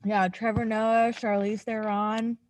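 A woman chatting casually as a podcast host, animated and giggly, with some casual swearing. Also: a little high, slow, no echo. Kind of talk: casual chat.

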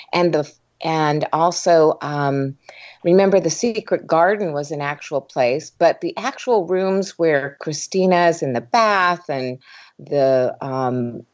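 A woman film director explaining how a production was shot, with detailed, explanatory storytelling. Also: low-pitched. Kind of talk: behind-the-scenes recollection. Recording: dull lo-fi sound.

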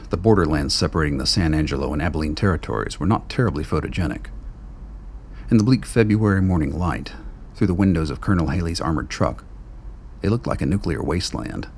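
A man narrating a radio drama in a steady, deliberate storytelling manner. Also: a bass voice, gravelly, slight background noise. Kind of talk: scripted narration.

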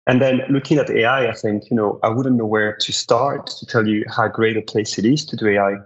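French-accented man, low, muffled. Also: fairly even intonation, fairly quick. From France. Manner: earnest, repeats words while thinking. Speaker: guest, marketing director. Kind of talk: podcast interview answer.

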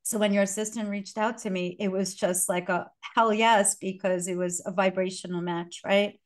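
A woman's voice: medium pitch, steady tone, normal speed. Chatty middle-aged New woman host. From Brooklyn, United States. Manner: chatty and effusive, with many fillers and restarts. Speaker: podcast host. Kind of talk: casual chat.

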